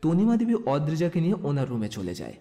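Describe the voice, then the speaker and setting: Male story narrator, scripted delivery, warm dark tone, animated intonation. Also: on the high side, fast.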